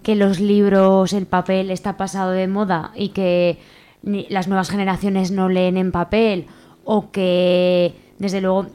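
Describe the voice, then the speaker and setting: A woman's voice: mid-range voice, level tone, a little slow, mellow, clear, resonant tone. A woman with an accent from Spain talking casually as a radio guest, colloquial and emphatic, correcting herself as she goes. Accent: Spanish from Spain. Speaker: radio guest from a community library collective. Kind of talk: casual spoken radio interview.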